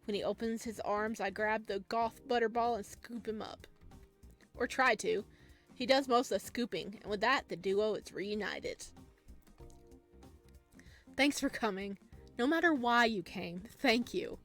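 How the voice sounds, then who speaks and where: Slow-paced, high-voiced woman playing a game. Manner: expressive, exclamatory. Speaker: gaming video host. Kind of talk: casual let's play, reading aloud and reacting. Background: soft game music.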